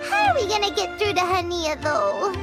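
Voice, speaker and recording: A woman's voice: very high voice, wildly swooping pitch, moderate pace, loud music behind the voice, exaggerated voice. A woman voicing a small, plucky, fairy-like girl sidekick in a video game, exclamatory and folksy. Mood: uneasy. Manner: refers to self by name, exclamatory, folksy.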